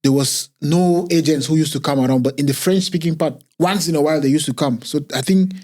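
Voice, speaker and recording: A man from the English-speaking part of Cameroon, co-hosting a podcast in relaxed conversation. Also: a little high. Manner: relaxed, conversational, quick to clarify. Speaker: podcast co-host. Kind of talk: casual chat.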